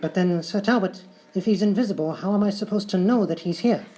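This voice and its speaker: A man playing a genteel, muddled English talk show host in live sketch comedy, politely formal and deadpan. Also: sits high, brisk, lightly acted, poor audio. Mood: courteous, puzzled. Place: live stage show at a hotel.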